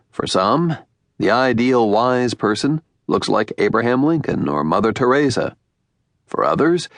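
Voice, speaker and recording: A man with an American accent narrating an audiobook in measured, deliberate phrases with emphatic pauses. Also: medium pitch, creaky, no room sound.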